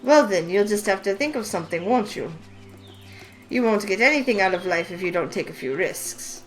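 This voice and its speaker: A woman's voice: mid-range voice, moderate pace, natural voice, rough recording. A woman voicing a wise, teasing older witch who runs a shop, in a game read-through over faint music. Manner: teasing, knowing and calm. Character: wise sly older female witch shopkeeper. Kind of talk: voice acting a visual novel.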